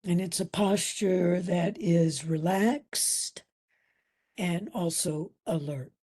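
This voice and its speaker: Very slow, soft, breathy woman guiding meditation. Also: lowish voice. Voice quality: soft, breathy, at times near whisper. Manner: soothing, gentle, with long pauses between phrases. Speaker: meditation instructor. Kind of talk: guided meditation.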